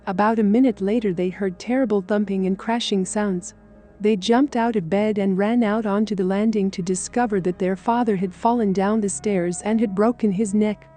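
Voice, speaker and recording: A woman's voice: mid-range voice, fairly even intonation, moderate pace, dark tone. A woman reading a scripted first-person account of a paranormal experience as a story narrator. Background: music.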